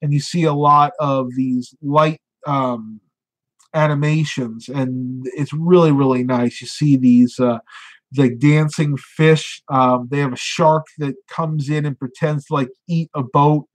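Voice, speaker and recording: A man's voice: on the high side, steady tone, unhurried. A man hosting a casual live stream, chatting and reading viewer comments with lots of fillers, sounding congested as he loses his voice.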